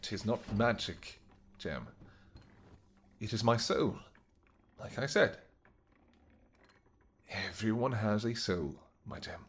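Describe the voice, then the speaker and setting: A man playing a devoted vampire king in an intimate audio roleplay, speaking earnestly and gently in halting, formal, old-fashioned words. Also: lowish voice, expressive, breathy edge, full character voice. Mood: tender, reassuring. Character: devoted vampire king, tender, anxious, apologetic husband.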